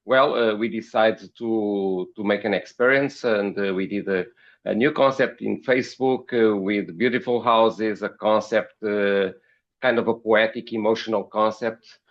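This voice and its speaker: A man from Portugal with a Portuguese accent, giving interview answers as a podcast guest in a halting, hesitant way, often repeating words. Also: fairly low pitch, steady tone, muffled recording. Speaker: podcast guest, company founder.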